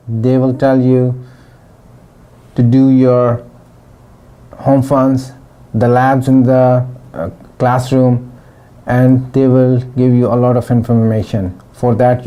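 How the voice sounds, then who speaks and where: A middle-aged man from Pakistan giving a testimonial interview about his training, talking in a halting way with frequent restarts. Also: mid-range voice, flat delivery, mellow. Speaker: former student giving a testimonial.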